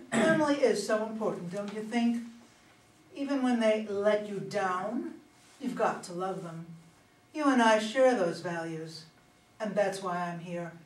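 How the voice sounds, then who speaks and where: A woman's voice: medium pitch, unhurried, lightly acted. Distant, poised young woman politician, acted by a woman. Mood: self-assured. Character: confident, smooth-talking young female attorney general. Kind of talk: amateur staged play reading. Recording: distant, echoey room, faint noise.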